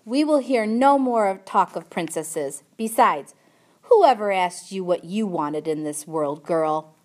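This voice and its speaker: A woman voicing a gruff, cruel, mocking uncle with rough rustic speech, in a storybook reading. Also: medium pitch, animated, moderate pace, close to an everyday voice. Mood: threatening.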